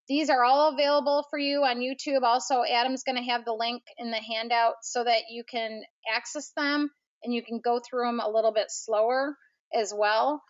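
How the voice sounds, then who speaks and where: A woman's voice: fairly high pitch, steady tone, normal speed, muffled recording. A woman presenting a training session in an informal, conversational, unscripted way. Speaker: presenter of an online aviation training.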